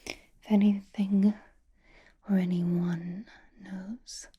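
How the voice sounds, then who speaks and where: A woman playing a flirtatious, possessive female vampire in an ASMR roleplay, softly whispering with teasing endearments. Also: medium pitch, level tone, heavily acted. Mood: scheming. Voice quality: soft breathy whisper. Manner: slow, teasing, drawling endearments.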